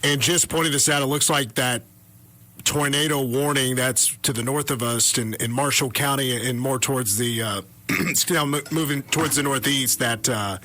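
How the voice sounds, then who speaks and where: Bright-voiced man giving halting storm commentary. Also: medium pitch. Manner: halting, thinking aloud with self-corrections. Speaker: radio weather coverage co-host. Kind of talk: unscripted live storm commentary.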